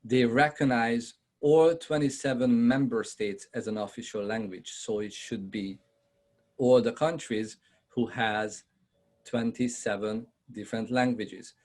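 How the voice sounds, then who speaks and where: A man from Hungary with a Hungarian accent, giving a conversational presentation on a video call, often pausing with fillers and asking his audience questions. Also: mid-range voice, steady tone, darkish tone. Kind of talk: online presentation to realtors.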